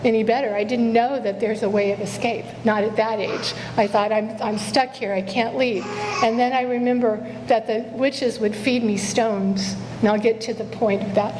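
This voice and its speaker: Quiet, earnest woman preacher, slightly high voice, on a stage mic in a reverberant church. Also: fairly even intonation, normal speed, background noise. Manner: earnest, repeats "Father" in prayer, self-correcting. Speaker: guest speaker. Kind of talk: sermon with prayer. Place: church sanctuary. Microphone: stage microphone.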